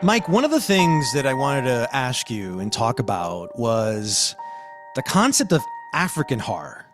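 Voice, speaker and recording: A man hosting a podcast, discussing and reviewing in a conversational, emphatic way with deliberate phrasing. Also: medium pitch, big pitch swings, over music. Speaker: podcast host.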